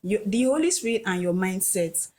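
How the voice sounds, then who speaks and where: A woman's voice: medium pitch, expressive, normal speed, a little mellow. Thoughtful woman on a podcast. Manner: thoughtful, hesitant, lots of "um". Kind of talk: casual podcast discussion.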